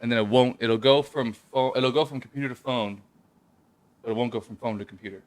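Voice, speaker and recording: A man's voice: mid-range voice, steady tone, brisk. A man co-hosting a casual comedy podcast, who jokes and explains things at length. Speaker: podcast co-host. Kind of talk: casual chat. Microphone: headphones with a remote call setup.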